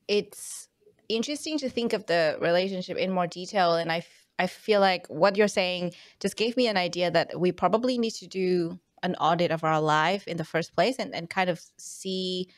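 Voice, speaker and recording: A woman's voice: on the low side, moderate pace, dry recording, clean studio sound. A young woman whose first language is Vietnamese, hosting a podcast interview and thinking aloud with many fillers and hesitations. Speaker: podcast host. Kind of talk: casual interview chat.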